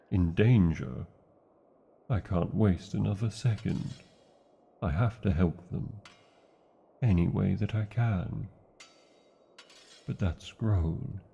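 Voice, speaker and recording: A man's voice: deep voice, vocal fry, warm tone, slightly rough, lo-fi sound, heavily acted. A man with a British accent voicing a large, devoted, proud male dragon in a fantasy ASMR romance, speaking tenderly with theatrical, formal wording. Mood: worried, urgent.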